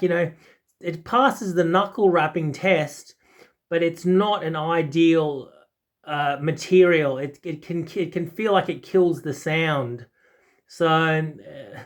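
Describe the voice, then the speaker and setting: A man in his forties giving a casual, unscripted product talk, rambling and often hesitating or correcting himself. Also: a little high. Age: 40s. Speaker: audiophile video host.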